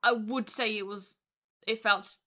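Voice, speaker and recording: A woman co-hosting a relaxed podcast, her voice bright and forward. She chats freely with plenty of ums and laughs easily along the way. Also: mid-range voice, measured pace. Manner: chatty, laughs often, many fillers. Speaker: podcast co-host. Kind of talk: casual chat.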